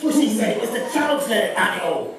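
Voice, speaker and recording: A man's voice: high-pitched, very animated, fast talker, fairly bright tone, soft background music. A man with a Donegal Irish accent playing a gossipy, teasing pantomime dame given to nagging, saucy banter. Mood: excitable. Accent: Irish, County Donegal. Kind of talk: pantomime stage comedy. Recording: noisy echoey theatre hall from far off.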